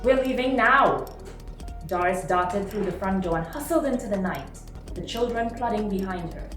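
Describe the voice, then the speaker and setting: A woman reading a short story aloud in a dramatic, expressive narrating style. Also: medium pitch, moderate pace. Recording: roomy, distant mic, music behind. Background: music.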